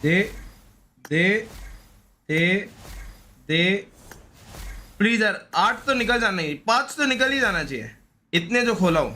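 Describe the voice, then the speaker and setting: A man's voice: sits high, lively intonation, takes it slowly, long pauses, sharp tone, slight background noise. A man giving casual, slangy live gaming commentary, often repeating short pleading phrases. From India. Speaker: gaming streamer.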